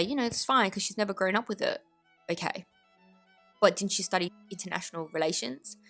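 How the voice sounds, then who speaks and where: An Australian woman with an Australian accent, giving blunt, opinionated commentary full of indignant rhetorical questions. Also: fairly high pitch. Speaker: commentary vlogger.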